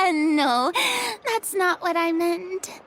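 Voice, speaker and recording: An actress playing a sweet, curious young woman in a video game, talking in a gentle, eager, chatty way. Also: very high voice, extremely slow, slight background noise, heavily acted. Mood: gently teasing. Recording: music behind the voice.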